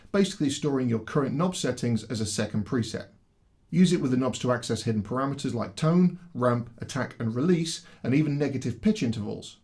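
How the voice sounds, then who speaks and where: A man's voice: medium pitch, a little mellow. Man narrating a scripted product demo. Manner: clear step-by-step instructional delivery.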